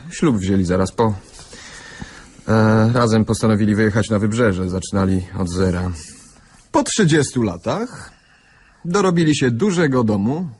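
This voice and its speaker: Man doing a businesslike detective, lowish voice, clipped and factual, radio drama, slight background noise. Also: a little mellow, lightly acted. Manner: matter-of-fact, clipped. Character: businesslike, eager male police investigator briefing his partner.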